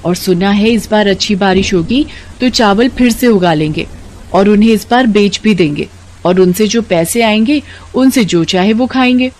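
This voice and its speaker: A woman's voice: mid-range voice, normal speed, a little mellow, lightly acted. A woman voicing a hopeful, respectful young village daughter-in-law in a cartoon story, reassuring and eager. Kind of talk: Hindi cartoon story dialogue.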